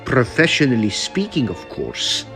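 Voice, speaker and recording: A man playing a corruptible asylum doctor in an old-time radio melodrama, with a formal, measured manner. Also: mid-range voice, wildly swooping pitch, sharp tone, soft background music, exaggerated voice. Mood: doubtful. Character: corruptible asylum doctor, professional, easily bribed.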